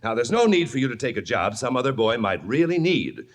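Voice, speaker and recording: A man's voice: medium pitch, very animated, a little fast. An actor playing a brusque, self-important wealthy father in old-time radio drama, pompous and domineering. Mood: domineering, exasperated.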